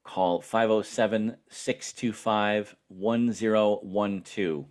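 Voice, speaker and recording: A man giving a spoken tutorial as an instructor, explaining each step in a deliberate, patient way. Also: on the low side, steady tone, a little mellow.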